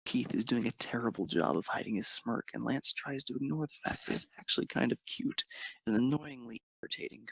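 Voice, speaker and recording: A man with a creaky, husky voice narrating a romantic story expressively. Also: medium pitch, brisk, a bit bright, no room sound, poor audio.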